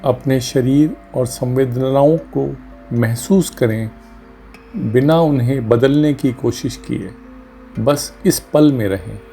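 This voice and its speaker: A man leading a guided meditation as a doctor, speaking gently and calmly in short phrases with long pauses. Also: mid-range voice, gravelly. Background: music under the voice.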